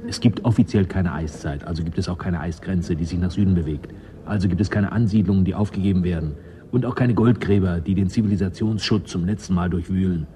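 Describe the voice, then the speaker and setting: An actor playing a shrewd, easygoing settler and schemer in a 1980s German radio drama, persuasive and cynical. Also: male voice, low voice, fast talker, mellow, a little hiss or hum, natural voice. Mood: insistent.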